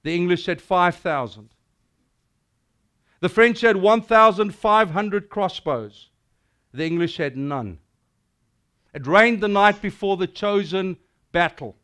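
A middle-aged South African man preaching in a small indoor hall, his voice sitting high and carrying with a smooth, resonant ring. He speaks in a lively, declamatory way, building his sermon toward grand moments. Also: takes it slowly, pauses often. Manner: animated and declamatory, gesturing widely. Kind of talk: sermon with dramatic recitation.

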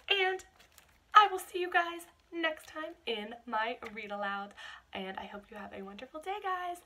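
A woman's voice: on the high side, pushed and bright, slight background noise. A woman, reading a picture book aloud to young children and speaking expressively to them like a teacher. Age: 20s. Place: small bedroom at home.

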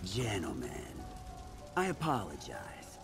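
An actor playing a cold, polite crime boss in a video game, speaking in a formal, measured, menacing way. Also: male voice, low-pitched, huge pitch swings, full character voice. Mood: coolly menacing. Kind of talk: video game cutscene acting. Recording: very loud dramatic music behind.

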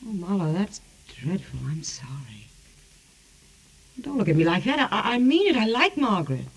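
An actress playing a jaded, mocking femme fatale in a 1940s radio noir drama. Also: female voice, lowish voice, sing-song, normal speed, husky, exaggerated voice. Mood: shaken. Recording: hissy, noisy 1940s radio recording.

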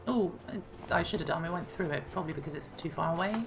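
A woman hosting a casual let's-play, chatting and thinking aloud, her voice slightly hoarse. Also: deep voice, fast talker. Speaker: gaming channel host. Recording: clear game music behind.

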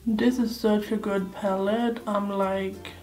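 Young woman chatting thru her makeup, kinda hoarse voice, faint music in the back. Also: medium pitch, level tone, takes it slowly, warm tone, low-quality recording. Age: young adult. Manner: chatty, thinking aloud while applying makeup. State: slightly hoarse, sore throat. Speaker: beauty vlogger. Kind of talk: casual makeup tutorial chat. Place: indoor room at home. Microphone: close camera facing her.

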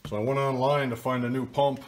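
An older man walks through a pump repair step by step, in a plain, practical tone. His voice sits at an ordinary middle pitch, and he talks casually into a camera mic in a workshop. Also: level tone, fairly quick. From Michigan, US. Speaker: DIY video host. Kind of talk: casual how-to narration. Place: workshop, table of pump parts.

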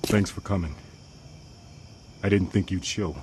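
An actor playing a tough but anxious industrial firefighter in a video game, urgent and plain-spoken. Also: male voice, low-pitched, unhurried. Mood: hushed, urgent. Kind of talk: video game cutscene acting. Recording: loud background roar and ambient noise.

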